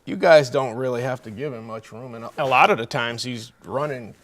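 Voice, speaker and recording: A man's voice: medium pitch, fairly quick, fairly bright tone. An American man hosting a college sports show, asking questions in a conversational interview style.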